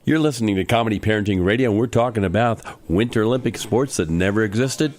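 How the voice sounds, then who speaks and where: A man hosting a comedy radio show in casual banter, with loud music behind. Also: on the low side, fast talker, dry recording.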